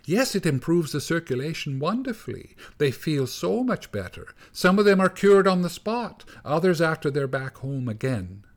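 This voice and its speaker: Storybook mother field mouse, put on by a male reader: high, wavering, anxious and polite. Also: sing-song, normal speed, hardly any pauses. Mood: tearful. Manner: pleading, fretful, high and wavering.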